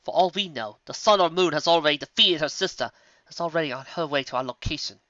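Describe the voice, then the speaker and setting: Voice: medium pitch, fast, pushed and bright, no room sound, dull audio, pitched above the performer's own voice, close to an everyday voice. A performer voicing a prim, serious, educated female pony musician who speaks formally and precisely in a story reading. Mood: earnest, worried.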